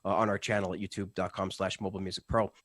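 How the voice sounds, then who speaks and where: Fast, passionate man in a radio interview. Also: low-pitched, flat delivery, fairly bright tone, no echo. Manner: fast and passionate, stumbles over words. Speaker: interview guest, channel creator.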